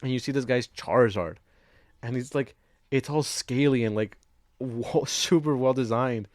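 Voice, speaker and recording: A man chats as a podcast co-host in a somewhat low-pitched voice. The sound is dry and close, and his delivery is loose, sweary and informal, with laughter mixed into his speech. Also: normal speed, darkish tone, no echo. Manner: loose, profane, laughs while talking. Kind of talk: casual chat, film discussion.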